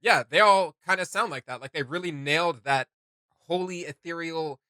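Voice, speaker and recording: A man gives casual, enthusiastic commentary as a reaction host. His voice is fairly high for a man and strikingly bright. He circles back over his phrases haltingly, in a very dry recording. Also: dry recording.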